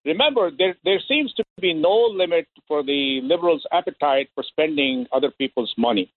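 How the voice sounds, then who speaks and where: A man's voice: fairly high pitch, lively intonation, fairly quick. Rambling male caller on phone line. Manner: rambling, talks in fits and starts. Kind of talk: phone-in call.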